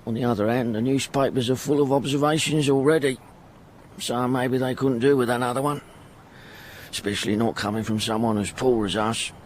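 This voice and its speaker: A man's voice: mid-range voice, steady tone, fairly quick, slight background noise, close to an everyday voice. An actor playing a poor, humble middle-aged porter and devoted father in a radio drama, rambling in folksy working-class speech. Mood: glum musing. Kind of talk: radio drama acting, first-person monologue.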